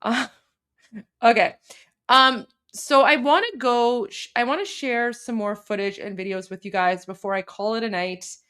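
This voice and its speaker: A woman's voice: a little high, measured pace, pushed and bright, clean tone. A woman hosting a casual livestream, chatty and full of fillers, Persian her mother tongue but speaking fluent English. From Canada. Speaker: livestream host.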